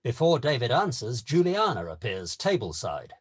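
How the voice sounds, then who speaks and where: Husky, animated male narrator. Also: medium pitch, normal speed. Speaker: narrator of stage directions. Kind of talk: scripted screenplay narration.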